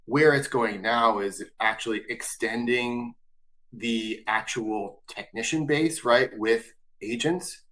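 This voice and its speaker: A man's voice: medium pitch, slow, fairly bright tone, rough recording. A man hosting a business webinar, asking long, loose questions full of fillers. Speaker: webinar host.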